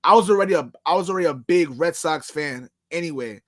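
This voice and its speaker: A man's voice: on the high side, fast, a bit bright. A man in his late twenties telling sports-fan stories in casual chat, earnest and repetitive. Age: 20s. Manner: earnest, repeats himself. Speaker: podcast co-host.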